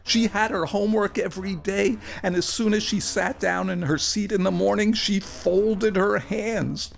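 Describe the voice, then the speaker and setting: A man performing a first-person story for radio, with a wry, animated and earnest delivery. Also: sits high, normal speed, vocal fry.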